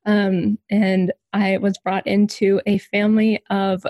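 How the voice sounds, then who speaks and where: A woman's voice: mid-range voice, little pitch movement, takes it slowly, dark tone, dry recording, lo-fi sound. Young woman, podcast guest, casual and chatty with lots of ums, dry close recording. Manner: casual, many ums, light laughs. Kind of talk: personal story in an interview.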